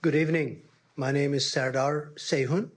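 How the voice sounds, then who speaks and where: A man's voice: a little high, steady tone, moderate pace. An older man with a Turkish accent, making an earnest, humble public comment at a meeting and searching for his words. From Istanbul, Turkey. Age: middle-aged or older, a grandfather. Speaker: grandparent speaking as public commenter.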